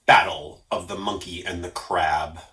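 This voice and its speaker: A man reading a story aloud as an expressive narrator. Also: deep voice, unhurried. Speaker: storyteller for a library story program.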